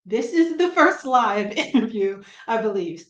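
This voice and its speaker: A middle-aged woman from New Jersey with a light Brooklyn accent, a comic writer chatting as a guest, self-deprecating and quick to laugh. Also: on the high side, expressive. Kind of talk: casual interview chat. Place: room at home. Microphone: video call. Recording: roomy echo on a video call.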